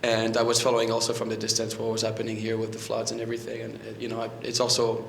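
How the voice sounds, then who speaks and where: A French-accented man in his thirties speaks from a podium mic in an echoey library room. His delivery is level and flat, chatty and full of restarts, with a faint hum behind him. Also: fairly low pitch, quick pace, vocal fry. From France. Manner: conversational, frequent restarts and fillers. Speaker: guest lecturer. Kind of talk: public history lecture. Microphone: podium microphone.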